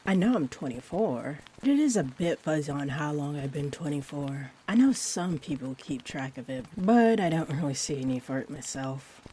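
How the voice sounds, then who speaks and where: Woman acting a low-voiced, sweet rambling vampire girl. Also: normal speed, few pauses, slight background noise. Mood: chatty, musing. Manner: gentle, hesitant, whimsical, wandering off on tangents. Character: sweet rambling friendly female vampire bartender, looks twenty-four. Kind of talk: roleplay audio, soft intimate rambling monologue. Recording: steady rain ambience behind the voice. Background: rain.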